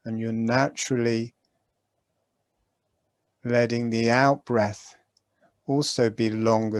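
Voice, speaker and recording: A man leads a breathing meditation in a mid-pitched voice, speaking very slowly. His instructions are calm and gentle, broken by long pauses. The sound is dry and close, with no room around it. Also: clear, resonant tone, dry recording. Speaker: meditation guide.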